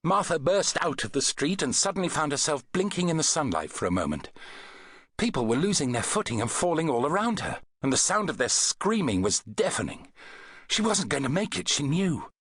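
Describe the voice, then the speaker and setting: A man reading an adventure novel as an audiobook narrator, in an expressive, dramatic storytelling manner. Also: a little high, normal speed, slightly rough, dry recording, studio quality.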